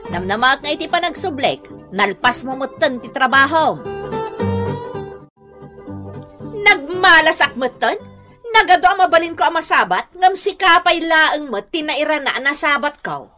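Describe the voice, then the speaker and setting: A woman's voice: high voice, expressive, moderate pace, music in the background. An actress with an Ilocano accent playing a haughty, quarrelsome, well-off woman who scolds and bosses people in a radio drama. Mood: boastful. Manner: domineering, scolding, sharp.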